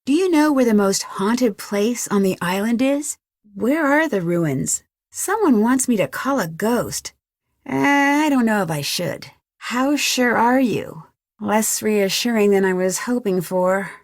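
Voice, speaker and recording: An actress with an American accent playing an inquisitive, determined young amateur detective in a video game, speaking clearly and questioningly. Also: female voice, medium pitch, lively intonation, a little slow, no echo, studio quality. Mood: friendly, coaxing. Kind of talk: scripted video game dialogue.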